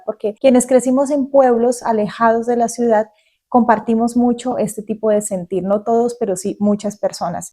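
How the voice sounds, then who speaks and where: A warm, mellow-voiced woman in her forties from Colombia talks earnestly to her viewer. She speaks at a steady, unhurried pace in a reflective monologue. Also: mid-range voice. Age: 40s. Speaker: vlogger. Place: outdoors in the countryside facing mountains.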